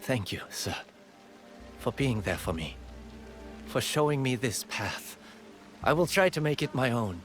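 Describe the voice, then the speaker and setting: A man's voice: mid-range voice, lively intonation, normal speed, a bit bright, soft background music, close to an everyday voice. An actor playing an earnest young man who protects his villagers, in a dramatic video game. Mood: humble.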